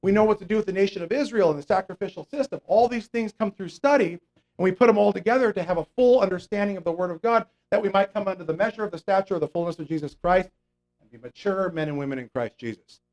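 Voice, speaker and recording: A man's voice: high voice, fast talker, darkish tone, low-quality recording. A man preaching a conversational Bible teaching sermon, explaining scripture and often checking in with "okay?" and fillers. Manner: mocks imagined religious people in a raised voice.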